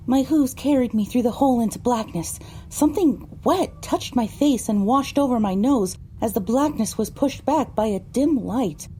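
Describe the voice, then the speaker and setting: A woman's voice: on the high side, lively intonation, moderate pace, hardly any pauses, mellow, a little hiss or hum, rough recording. A woman delivering scripted, first-person narration for an audio drama.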